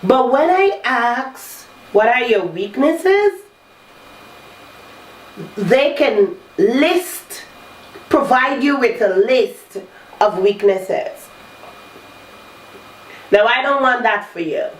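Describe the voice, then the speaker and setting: A woman hosting a talk show, congested from a cold, coaching listeners in a slow, measured way. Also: fairly high pitch, animated. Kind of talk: reflective self-help talk. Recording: roomy echo, distant mic, faint noise.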